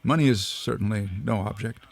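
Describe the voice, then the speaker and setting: A man's voice: lowish voice, a little fast, creaky, dry recording. A man playing a nervous, well-mannered otter archaeologist in a comic radio drama, fussy, genteel and hesitant. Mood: genteel, eager.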